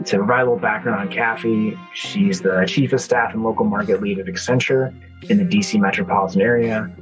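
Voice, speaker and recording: A man's voice: fairly low pitch, steady tone, brisk, soft background music, low-quality recording. A man hosting a podcast, introducing and interviewing his guest in a spoken interview style. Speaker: podcast host.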